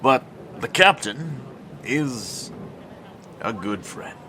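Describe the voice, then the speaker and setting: An actor playing a proud, haughty half-human undersea king in an audio drama, formal and forceful. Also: medium pitch, animated, drawn-out words, a little hiss or hum, full character voice. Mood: warm, proud. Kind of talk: cinematic audio drama acting.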